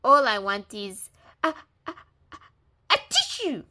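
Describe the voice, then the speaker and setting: A woman's voice: sits high, very animated, takes it slowly, a bit bright, voice pushed up, exaggerated voice. A woman voicing a polite, sniffling male wolf with a bad cold in a storybook read-aloud. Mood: sniffly begging. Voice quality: stuffy, sniffling. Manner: polite pleading.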